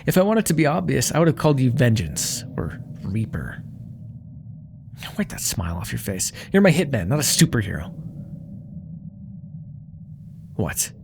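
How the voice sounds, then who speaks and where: Man playing a vain, silky demon boss, swooping pitch. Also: sing-song, normal speed, creaky, background noise. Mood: haughty, irritated. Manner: theatrical, condescending, coolly threatening with mock affection. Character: vain, menacing, silky male demon crime lord. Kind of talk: ASMR audio roleplay monologue.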